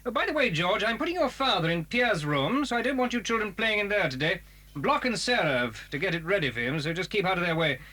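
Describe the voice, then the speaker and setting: An actor playing a stern, suspicious stepfather in a children's audio drama, husky, with a clipped, commanding and falsely genial manner. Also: high-pitched, sing-song, quick pace, sharp tone, full character voice.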